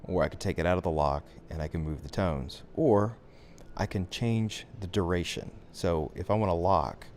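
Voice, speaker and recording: A man giving a software tutorial as instructor, talking through the steps in an informal, conversational way. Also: low voice, moderate pace, slight background noise.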